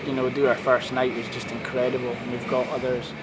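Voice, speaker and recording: A man's voice: medium pitch, flat delivery, fairly quick. A young man from Scotland, a stand-up comedian chatting casually in an interview about his show, rambling along like a storyteller. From Scotland (Aberdeenshire). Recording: street noise outdoors.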